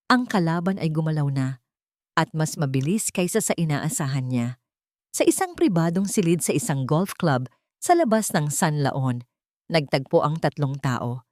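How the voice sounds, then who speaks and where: A woman narrating a scripted dramatic story in measured short phrases. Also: deep voice, darkish tone, no room sound, studio quality.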